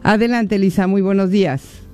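A woman's voice: mid-range voice, a little mellow, soft background music. Una locutora de radio presenta a los oyentes que llaman con tono cortés y ágil. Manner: courteous, brisk introductions. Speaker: radio co-host. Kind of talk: moderating a call-in radio show.

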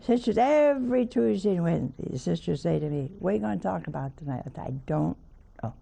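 A hoarse woman hosting a spiritual talk show, chatting in a folksy, teasing way. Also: on the low side, lively intonation, fast talker, dark tone, slight background noise. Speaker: TV host, nun. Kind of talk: homely spiritual talk to a studio audience.

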